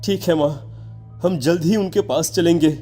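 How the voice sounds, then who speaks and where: A woman's voice: on the low side, normal speed, mellow, faint music behind, lightly acted. A woman voicing a frightened young boy in a horror cartoon, fearful and pleading with his mother. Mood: scared, uneasy.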